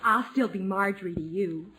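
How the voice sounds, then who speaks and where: A woman's voice: mid-range voice, normal speed, sharp tone, slight background noise, close to an everyday voice, rough recording. A woman playing an affectionate, polite young married niece in 1950s radio comedy, on an old radio recording. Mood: friendly, hurried.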